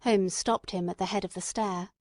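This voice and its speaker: A woman narrating a classic novel as a scripted audiobook in a measured, literary manner. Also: mid-range voice, steady tone, a little mellow, no echo, studio quality.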